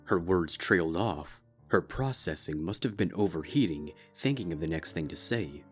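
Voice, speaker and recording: A man with a low, deep voice reads a dark story aloud in a steady, measured way. Soft music sits faintly underneath. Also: slightly rough. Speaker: fanfic reader. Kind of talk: scripted narration.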